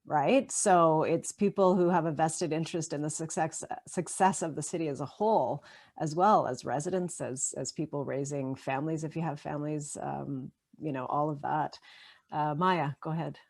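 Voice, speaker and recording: Female host, low voice, friendly panel moderator on a video call. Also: steady tone, normal speed, a little mellow. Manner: friendly, with frequent filler sounds.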